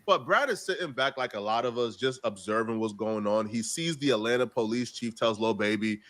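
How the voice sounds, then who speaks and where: A man with an average-pitched voice delivers casual news commentary as an online commentator. He is opinionated and conversational, using slang and sounding incredulous about what he reports. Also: a little fast, a bit bright.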